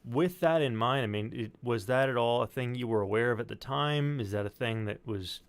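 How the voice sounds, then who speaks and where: A man's voice: medium pitch, moderate pace. A man hosting an interview, putting questions in a casual, conversational way. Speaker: host, interviewer.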